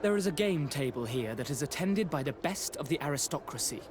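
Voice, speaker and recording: An actor playing a haughty, foppish young aristocrat with affected, flowery politeness in a video game. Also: male voice, a little high, lively intonation, brisk, close to an everyday voice. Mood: haughty, breezy. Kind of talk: video game cutscene acting. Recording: clear outdoor ambience noise.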